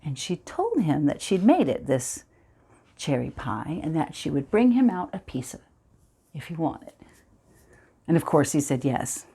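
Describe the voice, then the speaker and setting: A woman performing a dramatic film monologue as a somber storyteller recalling childhood, speaking in a hushed, halting, deliberate way. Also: low-pitched, very animated, vocal fry, slightly rough, voice pushed down, heavily acted. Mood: wistful, brooding.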